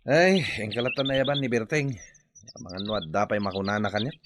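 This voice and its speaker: A man's voice: on the low side, expressive, fairly quick, background noise, lightly acted. A man with an Ilocano accent playing a lazy, self-pitying husband in a radio drama. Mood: reluctant, whining. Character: lazy, whining, self-pitying husband who shirks work. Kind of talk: Filipino radio drama acting.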